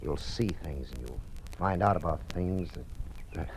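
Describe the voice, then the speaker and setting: Deep-voiced, anxious old-radio father. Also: unhurried. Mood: worried. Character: anxious, protective father of a grown daughter. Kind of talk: 1950s radio drama acting. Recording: noisy old radio recording.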